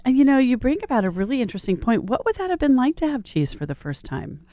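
A woman's voice: sits high, sing-song, fast talker, dark tone, no echo. A woman hosting a casual interview, asking questions in an encouraging, chatty way. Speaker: interviewer, host.

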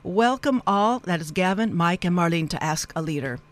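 A woman hosting a live radio interview show, with a wordy, halting delivery that wanders into asides. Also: fairly low pitch, lively intonation.

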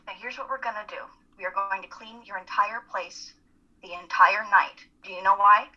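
A woman playing a blunt, husky college girl. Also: medium pitch, moderate pace, pushed and bright, highs cut off. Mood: stern, bossy. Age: young adult. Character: bossy, blunt but caring female college student. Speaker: actor in a dramatic reading. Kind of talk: radio-play style dramatic reading.

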